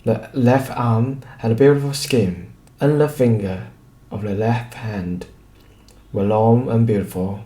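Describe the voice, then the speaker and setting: Slow, deliberate male storyteller in echoey room. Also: on the low side, warm tone. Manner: deliberate, with pauses between short phrases. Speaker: audiobook reader. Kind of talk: scripted story narration.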